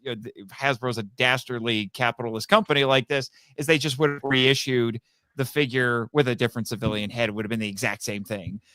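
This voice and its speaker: A man's voice: mid-range voice, fairly even intonation, fairly quick, pushed and bright, no echo. A man talking casually as a guest on a fan podcast, telling stories and acting out quoted remarks.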